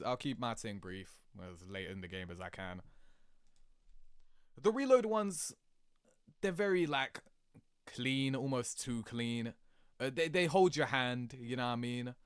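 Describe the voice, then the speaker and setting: A man giving casual, rambling reaction commentary in an animated, slangy, sweary way, laughing easily. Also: medium pitch, smooth, no room sound, studio quality. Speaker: video creator reacting to game cutscenes.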